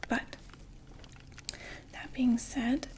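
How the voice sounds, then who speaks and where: A chatty undead vampire saleswoman, played by a young woman, breathes her pitch in a close, hushed whisper. Her voice sits a little high, and she keeps an easygoing sales patter full of little fillers. The whole thing is an ASMR roleplay. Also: fairly even intonation, extremely slow. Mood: soothing.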